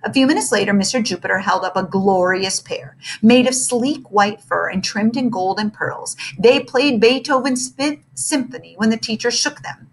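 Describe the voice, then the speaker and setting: A woman narrating a children's storybook aloud in an expressive, dramatic way. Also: on the high side, moderate pace, hardly any pauses, a little hiss or hum, low-quality recording.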